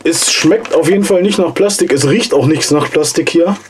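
A man in his forties, hoarse from a cold, casually and enthusiastically reviewing gear in a basement vlog. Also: a little high, normal speed, a little hiss or hum. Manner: enthusiastic, chatty, slangy. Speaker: outdoor gear channel host. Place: cluttered basement storage room, indoors. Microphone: camera mic from a few steps away.

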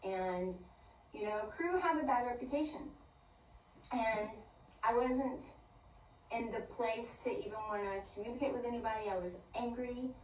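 A woman's voice: on the high side, normal speed. An older woman in a phone video recounting a personal story, acting out each line of dialogue. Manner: animated storyteller who acts out dialogue. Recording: roomy far-off clip with faint noise.

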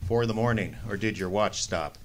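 An actor playing a cool, wisecracking private detective in a radio drama, with a wry, sardonic way of talking. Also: male voice, fairly low pitch, brisk, lightly acted. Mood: dry, unbothered. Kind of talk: hard-boiled detective radio drama acting.

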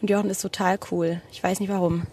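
A woman's voice: mid-range voice, flat delivery, fast, a little mellow. A woman reporter talking casually on location in a field recording. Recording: faintly noisy outdoor field recording.

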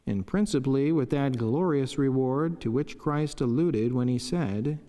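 A middle-aged friar reading a devotional reflection in a solemn, measured, formal way from a chapel lectern. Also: male voice, a little high, mellow. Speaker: friar preaching. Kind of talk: read devotional reflection and prayer. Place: wood-panelled chapel indoors, at a lectern. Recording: long echoing chapel reverb.

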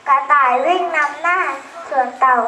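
A young girl with a very high voice recites a children's fable in an echoey room. She goes slowly and deliberately, as if from memory, addressing listeners with some noise around her. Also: sharp tone. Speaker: storyteller. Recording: echoey room, distant ambient sound.

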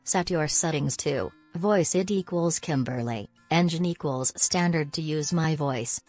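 A woman's voice: deep voice, a little slow, a little mellow, dry recording, faint music behind. A woman with an American accent reading a scripted narration.

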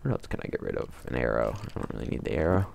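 A man calmly talking to himself while playing a game, in a soothing, mumbling way. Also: extremely low pitch, rapid-fire, gravelly, noisy background. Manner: calm, mumbling, self-talking. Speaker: gamer. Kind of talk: casual let's play commentary.

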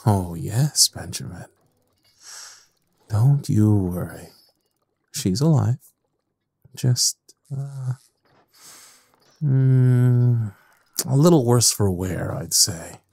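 A sadistic evil scientist played by a man in an audio drama, theatrical and mocking. His voice is low and husky, slow and very dry in sound. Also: animated, mellow, no echo, full character voice. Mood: mockingly cheerful. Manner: theatrical, taunting, measured.

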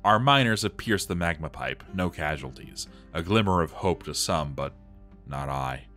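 Brief: male performer as a doomed dwarf armorer, very low voice, grave and theatrical, journal drama over background music. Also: lively intonation, moderate pace, sharp tone, full character voice. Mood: bleak despair.

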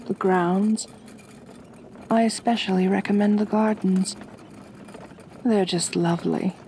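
A woman playing a gentle, doting aristocratic vampire mistress in an ASMR roleplay, speaking softly and breathily with genteel, soothing command. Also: mid-range voice, slight background noise, natural voice. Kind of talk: ASMR roleplay, intimate acting.

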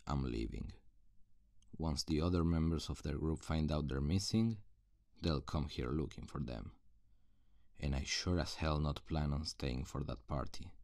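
A man playing a gruff, cynical loner surviving an apocalypse in an ASMR roleplay, terse and guarded, with a gravelly voice. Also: darkish tone, no echo, clean studio sound, heavily acted. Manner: low, deliberate, terse and guarded. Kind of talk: ASMR roleplay acting, addressed to the listener.

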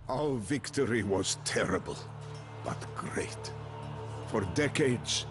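An actor playing a grim, proud medieval Bohemian mercenary commander, narrating his story solemnly and deliberately for a video game. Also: lowish voice. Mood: somber triumph. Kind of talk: dramatic scripted first-person narration. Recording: clean voice-over with music behind.

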